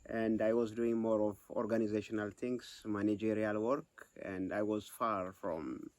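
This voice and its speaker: Ministry director, low-ish voice, dry close sound, earnest and plain. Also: male voice, steady tone, unhurried, darkish tone, no echo. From Ethiopia. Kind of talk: interview testimony.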